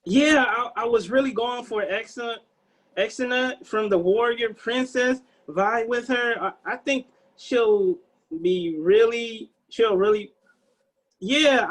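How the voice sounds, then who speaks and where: Man acting a high-voiced, hesitant screenwriter. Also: fairly bright tone, full character voice, rough recording. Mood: polite unease. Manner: halting, hesitant. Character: anxious screenwriter man pitching his action script to producers. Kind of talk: table read acting.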